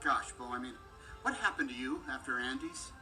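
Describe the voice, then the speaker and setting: A man playing an earnest, hesitant cowboy toy in an animated story read-along. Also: mid-range voice, normal speed. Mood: baffled. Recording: distant, under loud music.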